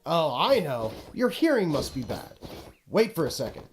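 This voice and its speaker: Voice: medium pitch, very animated, moderate pace, faint music behind, close to an everyday voice. An actor playing a pompous, exasperated video game narrator who scolds the player in a dramatic, haughty, petulant way. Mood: exasperated scolding. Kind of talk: theatrical scripted game narration.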